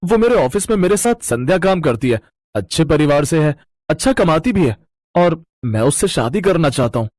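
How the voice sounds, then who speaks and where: Man acting as young adult son, lively swooping pitch, fairly high voice, eager, cartoon story dialogue. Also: normal speed, no echo. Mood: eager, frank.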